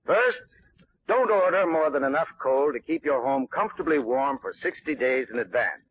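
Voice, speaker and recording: A man's voice: on the high side, lively intonation, moderate pace, a little hiss or hum. A man giving a scripted 1940s radio commercial as a folksy, instructive expert. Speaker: advertising spokesman, heating expert. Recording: tinny 1940s radio recording.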